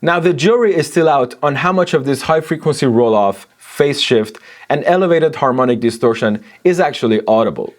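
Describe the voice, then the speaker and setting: A man narrating a scripted technical audio review in an explanatory, measured manner. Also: medium pitch, expressive.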